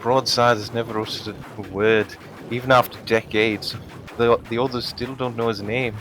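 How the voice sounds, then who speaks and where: A man's voice: mid-range voice, fairly even intonation, normal speed, fairly bright tone, a little hiss or hum. A man reading a comedic fan comic as narrator in a wry, conversational way. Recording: music bed behind voice. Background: music.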